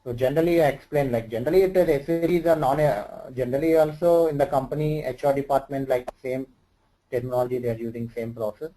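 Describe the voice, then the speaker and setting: A man with an Indian English accent explains things one-on-one as an instructor. His voice is mellow and soft-edged, and he speaks haltingly with lots of fillers and loose grammar. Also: mid-range voice, steady tone, low-quality recording. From Andhra Pradesh, India. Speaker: SAP HR instructor. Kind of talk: informal one-on-one tutoring.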